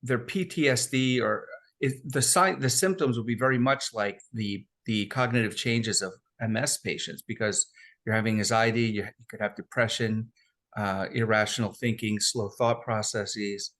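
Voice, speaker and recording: A man's voice: lowish voice, normal speed, fairly bright tone. A man hosting a remote interview, asking long questions with hesitant restarts. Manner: hesitant, frequent fillers and restarts. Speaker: interview host.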